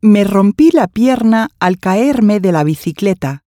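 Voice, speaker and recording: Female, soft mellow tone, animated intonation, clear enunciation, scripted lesson reading. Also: medium pitch, slow pace, dry recording, studio quality. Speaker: language course dialogue reader.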